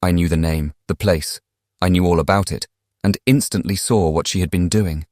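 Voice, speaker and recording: A man performs a young English gentleman from a classic novel audiobook, with a British accent and a low-pitched voice. He is contrite and impassioned, justifying himself in eloquent, formal period phrasing. The sound is dry, with no room around it. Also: moderate pace, no room sound, natural voice. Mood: explanatory, regretful. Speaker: audiobook cast reader. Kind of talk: dramatized audiobook reading of a letter in a classic novel.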